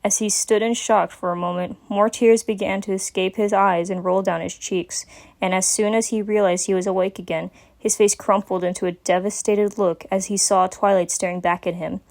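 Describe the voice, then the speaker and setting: Female story narrator, mid-range pitch, scripted dramatic reading of fiction. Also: fairly even intonation, normal speed, gravelly, darkish tone.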